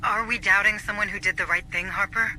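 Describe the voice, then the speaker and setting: A calm mission officer on comms. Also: male voice, sits high, slight background noise, rough recording. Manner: measured, reassuring. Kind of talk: video game dialogue.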